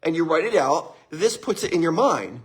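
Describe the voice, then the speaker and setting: Upbeat middle-aged male motivational speaker, husky and fairly high for a man, live-stream feel. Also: sing-song, normal speed. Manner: upbeat, greets viewers by name, laughs often.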